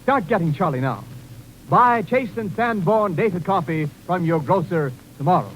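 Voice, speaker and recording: A male announcer delivers an old-time radio commercial in a high-pitched voice. He sells with firm emphasis on a vintage 1930s broadcast recording. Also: lively intonation, moderate pace, a bit bright, a little hiss or hum, low-quality recording.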